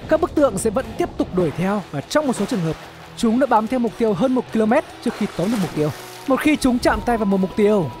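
A man narrating an animated explainer series as its host, in a scripted storytelling style. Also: sits high. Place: voice-over recorded close, with music behind.

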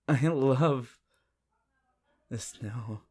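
Guy doing a shy flustered anime teen boy, stammers a lot, super slow romantic roleplay talk. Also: mid-range voice, a little mellow, slightly rough. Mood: tender, happy. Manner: halting, stammering, flustered, giggly. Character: shy, awkward, lovestruck teenage boy hero, anime. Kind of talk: romantic audio roleplay addressed to listener.

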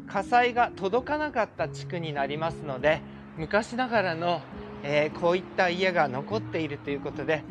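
Chatty man giving a walking tour. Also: sits high. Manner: chatty, polite, enthusiastic guide. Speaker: vlogger. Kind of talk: casual walking tour narration. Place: outdoors on city streets and in a park. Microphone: handheld wide-angle camera.

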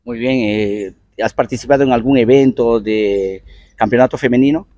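A man's voice: medium pitch, moderate pace, dark tone, no echo. A man with a Peruvian accent reporting from an outdoor football field, friendly and affectionate as he interviews children. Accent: Peruvian Spanish. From Peru.

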